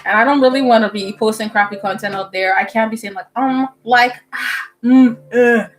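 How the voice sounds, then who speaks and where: A young woman from the Bahamas, a medical student, chatting casually with viewers on a livestream at home, animated and full of fillers and laughs. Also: on the high side, moderate pace. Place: indoors at home. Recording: soft music playing behind.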